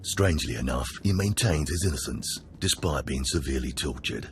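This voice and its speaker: An actor playing a wry, contemptuous older Sith jailer in a video game, with a sardonic drawl. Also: male voice, rumbling low, expressive, fairly quick, slight background noise. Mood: wry contempt. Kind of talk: video game cutscene acting.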